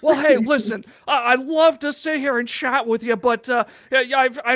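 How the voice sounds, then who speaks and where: A woman's voice: sits high, fairly bright tone, no echo, studio quality. A woman playing a friendly, rambling dwarf king in a tabletop game, casual and hesitant. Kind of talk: improvised tabletop roleplay.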